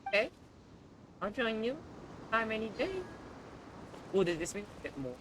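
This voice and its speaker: A man's voice: sits high, very animated, moderate pace, noisy background. A young man giving casual, informal, reactive commentary while livestreaming a video game. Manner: informal, sweary. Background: game music.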